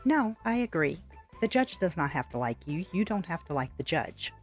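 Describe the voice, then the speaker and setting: A woman giving opinion commentary in a measured, matter-of-fact way, correcting point by point. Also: lowish voice, very animated, soft background music. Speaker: reaction commentator. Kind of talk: opinion commentary over played clips.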